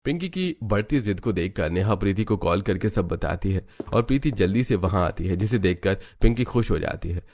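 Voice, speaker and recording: A man's voice: low voice, animated, brisk, hardly any pauses, darkish tone. A man narrating a scripted family story as a storyteller.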